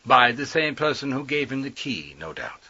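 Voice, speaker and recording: An actor playing a testily polite gentleman under suspicion in a radio mystery, speaking in a formal, clipped, evasive way with a husky voice. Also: medium pitch, very animated, moderate pace, dull audio, full character voice. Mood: wary, defensive. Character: stiff, guarded gentleman murder suspect, testily polite. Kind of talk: scripted radio mystery acting.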